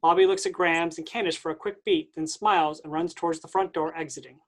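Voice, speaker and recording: A woman's voice: sits low, steady tone, brisk. A woman reading stage directions as scripted narration for a staged play reading.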